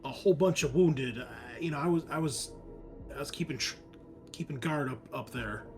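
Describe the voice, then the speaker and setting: A man's voice: on the high side, moderate pace, soft background music, pitched above the performer's own voice, close to an everyday voice. A man playing a frightened, hesitant young marine private who stammers deferentially in a tabletop game. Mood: worried, hesitant.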